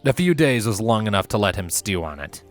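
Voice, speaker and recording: A man reading a fiction story aloud as its narrator, in an expressive scripted style. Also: lowish voice, a little fast, soft background music.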